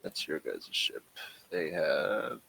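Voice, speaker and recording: A man running a tabletop game as game master, talking casually and haltingly as he thinks rules through aloud. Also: extremely low pitch, very animated, creaky, sharp tone, slight background noise.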